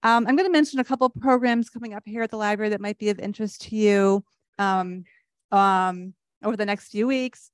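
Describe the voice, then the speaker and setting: A woman's voice: mid-range voice, no echo. A woman hosting a library evening program, chatty with many fillers. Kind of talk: introducing a library talk.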